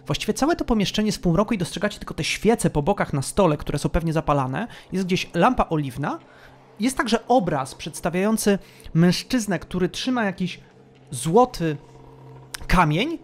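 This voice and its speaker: A woman's voice: lowish voice, animated, moderate pace, long pauses. A woman narrating a tabletop roleplaying game as game master, telling the story in a descriptive, suspenseful way.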